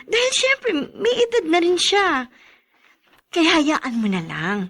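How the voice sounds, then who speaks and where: High-pitched, meek young Filipino wife. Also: female voice, huge pitch swings, takes it slowly, full character voice. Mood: weak, forgiving. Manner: gentle, conciliatory. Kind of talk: Filipino radio drama acting.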